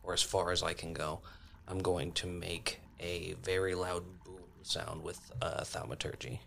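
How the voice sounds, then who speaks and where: Deep-voiced, creaky man at a game table. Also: steady tone, a little slow, pushed and bright, slight background noise. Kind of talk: casual in-game table talk.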